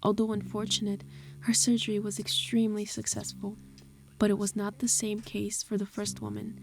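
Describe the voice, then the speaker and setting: A woman narrating a scripted horror story in a measured storytelling manner. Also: medium pitch, completely flat delivery. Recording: clear music behind the voice. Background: music.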